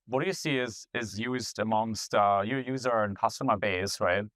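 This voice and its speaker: A man's voice: lowish voice, normal speed, a bit bright, no echo. Upbeat man interviewing, dry close sound. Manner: upbeat, enthusiastic, repeats words as he starts sentences. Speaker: interviewer, company CEO. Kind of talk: casual interview conversation. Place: event venue.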